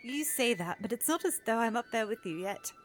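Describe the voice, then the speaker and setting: A woman's voice: on the high side, animated, a little fast, faint music behind, lightly acted. A woman playing a polite, eager young princess with formal, earnest phrasing in an audio drama. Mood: playful protest. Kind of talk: scripted audio drama acting.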